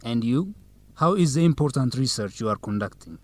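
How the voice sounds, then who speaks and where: A man plays an earnest young male student leader in a radio drama for English learners. He speaks courteously at a medium pitch. The sound is dry, with hardly any room. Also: lively intonation, fairly quick, a little mellow, no room sound, lightly acted. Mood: polite, curious. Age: young adult.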